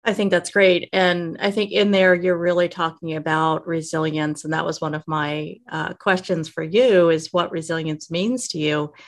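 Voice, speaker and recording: A woman with a fairly low voice and noticeable vocal fry talks in a podcast interview. She is measured and affirming as she converses. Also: fairly even intonation. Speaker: guest interviewer, resilience specialist.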